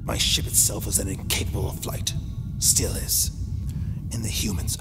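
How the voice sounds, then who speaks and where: A man playing an ancient, scornful alien telepath tyrant in a sci-fi audio drama, speaking with slow theatrical gloating and hissed whispers. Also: lowish voice, level tone, natural voice. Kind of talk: science fiction audio drama acting. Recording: long hall reverb with music behind.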